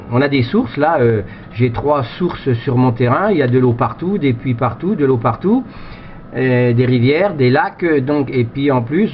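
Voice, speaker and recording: A man chatting casually as an amateur radio operator, with a rambling, repetitive way of talking. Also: medium pitch. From France. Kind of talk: casual radio contact chat. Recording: muffled, noisy two-way radio sound.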